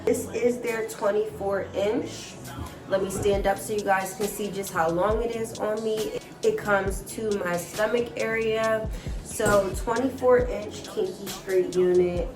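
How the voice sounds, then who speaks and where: An upbeat woman vlogger talks viewers through a tutorial, casual and chatty, with a slightly stuffy nose from a cold. Her voice sits at an ordinary middle pitch. It is recorded from a little way off the mic in a roomy space, with music playing clearly underneath. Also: steady tone, a little slow, far from the mic. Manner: chatty and upbeat, often says "guys" and "like". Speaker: hair and wig vlogger. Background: background music.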